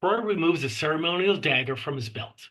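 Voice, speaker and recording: A man's voice: a little high, lively intonation, brisk, pushed and bright. A man reading the stage directions of a horror script aloud as scripted narration at a table read.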